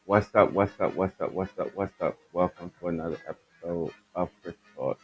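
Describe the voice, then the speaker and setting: A man hosting his own podcast, his voice raspy and stuffed up, chatting loosely and rambling as he talks straight to his listeners about the news. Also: low voice, fairly even intonation, moderate pace, over loud music, poor audio. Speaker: podcast host. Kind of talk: casual commentary on news stories.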